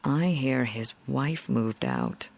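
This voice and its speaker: An actress playing a chatty, hospitable small-town housewife with a genteel, effusive manner in a radio drama. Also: female voice, very deep voice, huge pitch swings, slow, vocal fry, a little mellow, full character voice. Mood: neighborly. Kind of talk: naturalistic radio drama dialogue.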